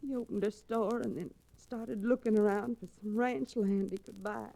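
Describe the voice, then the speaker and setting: An actress playing a bitter, spirited rancher's young daughter in an old-time radio western, dramatic and sharp-tongued. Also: female voice, mid-range voice, steady tone, moderate pace, vocal fry, poor audio. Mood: bitter, tense. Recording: restored vintage radio drama recording.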